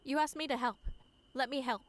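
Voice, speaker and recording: Woman acting an anxious, high-voiced young college woman. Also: fairly even intonation, a little fast, natural voice. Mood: insistent. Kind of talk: comic dub voice acting. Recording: long reverb, clear background noise.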